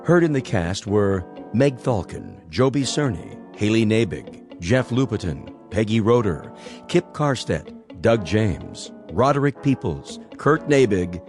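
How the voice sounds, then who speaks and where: Male American radio announcer for scripted credits, lowish voice, soft music bed. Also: sing-song, measured pace.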